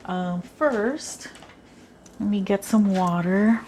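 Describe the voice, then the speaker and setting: A woman's voice: medium pitch, takes it slowly. A woman from the Philippines, whose first language is Tagalog, chats casually through a cooking demonstration, thinking aloud with many fillers. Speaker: cooking vlogger. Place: home kitchen. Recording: clear kitchen noise behind. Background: clatter of pots and cutlery.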